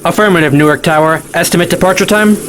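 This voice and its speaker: A man's voice: a little high, fast talker, background noise, lightly acted. A man playing a tense, blunt private-plane pilot in an audio drama, speaking tersely. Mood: businesslike. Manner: terse, radio jargon. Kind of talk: naturalistic audio drama acting.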